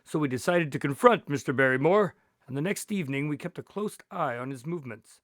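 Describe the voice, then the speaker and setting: A man playing an earnest, observant doctor who investigates a case, speaking in a measured, explanatory way in an audio drama. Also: fairly high pitch, expressive, dry recording, clean studio sound, lightly acted. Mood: recounting, suspicious.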